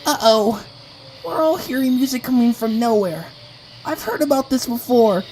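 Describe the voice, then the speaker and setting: A woman's voice: on the high side, lively intonation, moderate pace, darkish tone, slight background noise, lightly acted, poor audio. A woman playing a jittery, melodramatic little creature in an audio story. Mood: alarmed.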